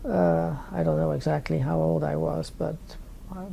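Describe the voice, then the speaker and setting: A woman in her eighties with a Dutch accent, speaking slowly in an oral history interview and pausing to search for her words. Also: deep voice, steady tone, creaky, mellow, slightly rough. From Netherlands. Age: 80s.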